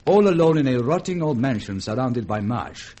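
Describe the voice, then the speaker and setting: An actor playing a deferential, gossipy Creole government aide with a French accent, telling tales politely and haltingly in a vintage radio drama. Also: male voice, medium pitch, lively intonation, warm tone, husky. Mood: confiding.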